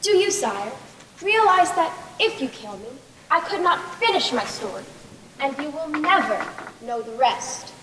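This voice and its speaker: A young woman playing a composed, clever storyteller queen in a stage play, speaking in a measured, formal way. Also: high-pitched, sing-song. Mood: composed, defiant. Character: Scheherazade, composed clever queen telling stories to a sultan. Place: school stage play in a large hall. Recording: echoey hall, clear background noise.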